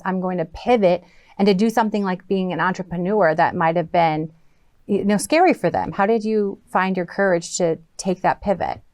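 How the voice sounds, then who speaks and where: A woman's voice: fairly low pitch, no room sound, studio quality. A woman interviewing a guest in a casual, chatty way, mixing personal asides into her questions. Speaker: podcast interviewer.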